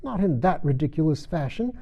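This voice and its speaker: A man's voice: on the high side, very animated, a little fast, creaky, mellow, voice pushed up, full character voice. A man voicing a sulky, complaining dormouse in a querulous storybook manner, on a dull recording. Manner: peevish, querulous.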